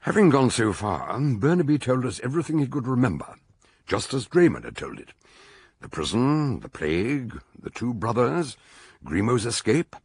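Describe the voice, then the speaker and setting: An actor playing a shrewd, genial older British detective in a radio mystery, questioning courteously and narrating the case. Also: male voice, mid-range voice, sing-song, moderate pace, husky. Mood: measured.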